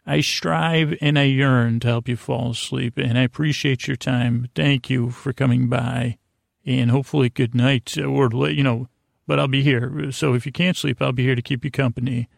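Gravelly, meandering male podcast host. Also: mid-range voice, steady tone, dry recording, clean studio sound. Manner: meandering, halting, often trailing off mid-thought. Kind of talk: rambling bedtime podcast monologue. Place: podcast studio.

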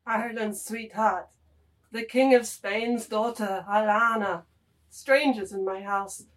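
Woman acting a slow, keening ancient crone. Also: mid-range voice, fairly even intonation, close to an everyday voice. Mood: bitter, mournful. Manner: wailing, lamenting. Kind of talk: literary dramatised reading.

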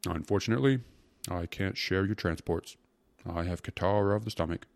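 A man's voice: low-pitched, huge pitch swings, brisk, creaky, husky, no room sound, heavily acted. A man voicing a peevish, impatient prosecutor in a storybook, with a moaning, complaining manner. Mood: sour. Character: peevish, impatient male prosecutor with a bad stomach.

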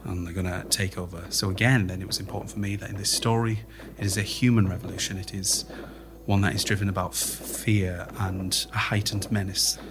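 A man's voice: low-pitched, fairly even intonation, fairly quick, background noise. A man speaking as an interviewed playwright, explaining his thinking in a reflective, conversational way.

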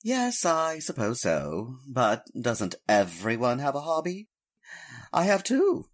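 An actor playing an urbane, smug gentleman stamp collector with a fussy, precise, affected way of talking, in the style of old-time radio drama. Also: mid-range voice, wildly swooping pitch, slow, exaggerated voice. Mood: smug, amused.

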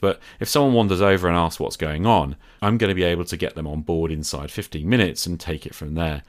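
Deep-voiced man hosting a podcast. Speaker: podcast host. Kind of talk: scripted solo podcast narration.

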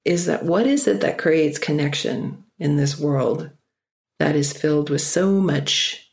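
A speaker giving a reflective personal talk, musing aloud and often slowing down to stress key ideas. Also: medium pitch, lively intonation, gravelly. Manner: musing, with frequent "you know" fillers.

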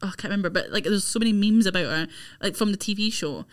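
A young woman, a podcast guest chatting casually, animated and quick to swear. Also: mid-range voice. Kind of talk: casual chat.

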